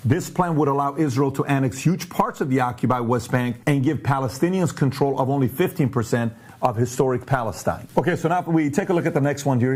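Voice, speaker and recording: A man born and raised in Iran hosts a commentary show, posing pointed questions and walking through charts in an emphatic, animated way. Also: medium pitch. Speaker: show host.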